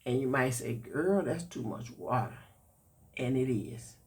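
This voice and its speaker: Older man in his 60s, congested and raspy, slow folksy vlog chat to viewers. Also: medium pitch, animated. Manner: chatty, folksy, addresses viewers directly. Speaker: vlogger reviewing a product. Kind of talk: casual chatty review.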